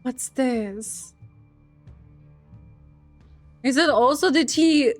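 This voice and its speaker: A woman reacting casually to a game as she plays. Her voice is fairly high and unhurried, musing and trailing off, with faint game music behind her. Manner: musing, trailing off, thinking aloud. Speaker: streamer. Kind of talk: casual gameplay reaction commentary.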